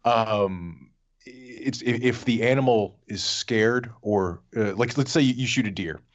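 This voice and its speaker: A man's voice: mid-range voice, a little slow, dull audio. A man chatting casually as a podcast panelist, rambling and wry, with some swearing. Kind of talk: casual chat.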